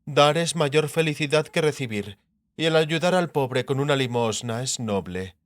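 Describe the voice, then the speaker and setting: A man's voice: mid-range voice, expressive, normal speed, no echo, natural voice. A man voicing a pious, stern queen in an audiobook, solemnly quoting holy sayings to her husband. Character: pious, bespectacled queen, stern and sententious wife. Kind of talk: dramatised audiobook character acting.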